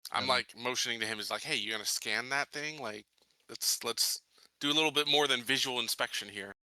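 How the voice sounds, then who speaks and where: A man's voice: medium pitch, a little fast, sharp tone, dry recording, low-quality recording. A man playing a tabletop game, casually talking through his character's orders and plans. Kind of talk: casual in-character planning and chat.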